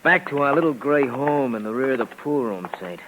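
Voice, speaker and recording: A man's voice: medium pitch, lively intonation, a little fast, natural voice. An actor playing a menacing, uneducated gangster henchman who talks in slangy, repetitive tough-guy phrases in a 1950s radio drama. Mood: smug menace. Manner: slangy tough-guy talk, repeats himself. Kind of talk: 1950s radio crime acting. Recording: noisy, crackly 1950s radio recording.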